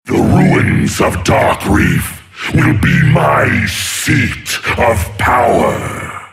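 A gravelly sea monster tyrant from a video game, imperious and gloating. He draws his threats out very slowly and theatrically, with huge swings in pitch. There is some noise in the recording. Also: big pitch swings, fairly bright tone, faint music behind, exaggerated voice. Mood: vengeful, boastful. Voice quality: gravelly, growling. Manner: slow, theatrical, menacing, gloating. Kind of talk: video game character voice lines.

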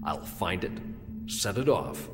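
An actor playing a proud, agitated, obsessive scientist in a video game, indignant and emphatic. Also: male voice, low-pitched, big pitch swings, slow, exaggerated voice. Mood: grim resolve. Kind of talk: video game cutscene acting. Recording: long reverb, music behind.

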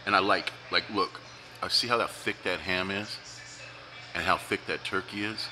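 A man's voice: deep voice, fairly even intonation, normal speed, music in the background. A man, reviewing food in a casual, joking vlog while eating at a restaurant. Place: restaurant dining room, indoors.

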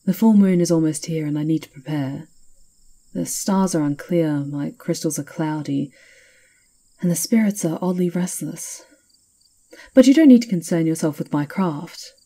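Woman playing a dark, husky, sly witch. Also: sits low, normal speed. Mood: playful, teasing. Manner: teasing, confiding, theatrical. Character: sly, knowing castle witch, teasing and conspiratorial. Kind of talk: ASMR roleplay.

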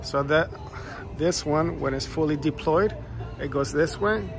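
A man's voice: on the high side, a little slow, creaky, music in the background. A man filming a casual vlog outdoors on his phone, talking eagerly and plugging a shop. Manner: enthusiastic, promotional. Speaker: vlogger. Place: outdoors at a warehouse lot and a driveway. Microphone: phone camera held by speaker.

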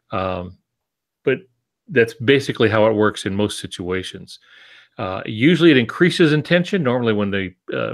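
A man's voice: lowish voice, a bit bright. A man in his sixties explaining things informally, with easygoing asides and disclaimers, on a video call from a home office. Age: 60s. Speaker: co-host and writing teacher.

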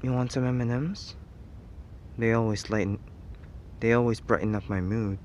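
A man voicing a caring but teasing teenage boy best friend in an ASMR roleplay, hesitant and soft-hearted while feigning reluctance. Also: medium pitch, fairly even intonation, normal speed, a little hiss or hum, close to an everyday voice. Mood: gently concerned.

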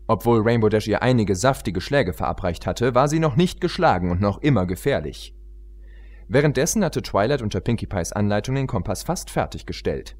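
A man's voice: fairly low pitch, lively intonation, brisk, darkish tone. A man reading a story aloud as an audiobook narrator in a scripted narration style.

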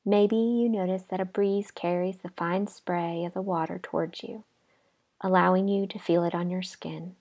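A woman leading a guided imagery relaxation exercise in a calm, gentle, unhurried manner with pauses. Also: fairly low pitch. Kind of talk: guided imagery meditation narration.

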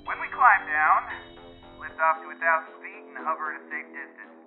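A man's voice: a little high, animated, normal speed. A man playing a commanding, cool-headed scientist in a radio drama, heard through a radio filter. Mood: commanding, grave. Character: commanding, cool-headed male scientist leading a field mission. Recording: tinny radio sound with music.

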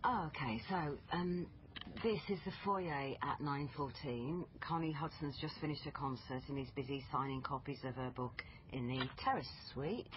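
An actress playing a persistent, matter-of-fact police family liaison officer in a naturalistic radio drama. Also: female voice, low-pitched, normal speed, natural voice. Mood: puzzled, explaining. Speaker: actor in radio crime drama. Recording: dull, noisy lo-fi radio recording.

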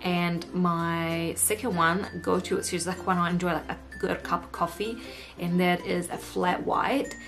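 A woman's voice: lowish voice, steady tone, measured pace. A woman in her late twenties with a New Zealand accent, chatting casually to camera as a vlogger. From Egypt, moved to New Zealand aged nine. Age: late 20s. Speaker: YouTuber hosting a vlog. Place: indoor room at home. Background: background music.

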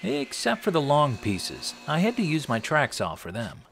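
A man's voice: on the high side, huge pitch swings, moderate pace, gravelly, fairly bright tone, slight background noise. A middle-aged American man narrating a scripted woodworking tutorial in a wry, tongue-in-cheek manner. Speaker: host of a woodworking channel.

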